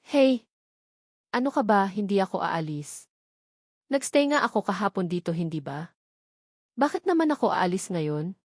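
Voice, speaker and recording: A woman's voice: mid-range voice, very animated, moderate pace, pauses often, no echo. A bashful, affectionate young woman in love, played by a female narrator with a Filipino accent. Her manner is informal and easily flustered, like a romance audiobook heroine. The recording is dry. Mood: gentle reassurance.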